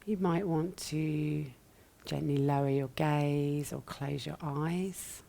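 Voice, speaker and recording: Slow, low-voiced woman guiding meditation. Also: fairly even intonation, creaky. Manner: gentle, soothing, long pauses between short phrases. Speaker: yoga nidra meditation teacher. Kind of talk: guided meditation.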